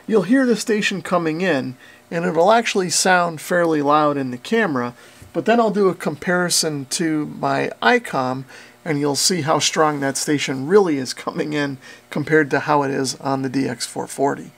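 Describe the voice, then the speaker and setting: Gravelly American man explaining a repair. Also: on the high side, moderate pace. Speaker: host of a radio repair channel. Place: small indoor workshop with concrete walls.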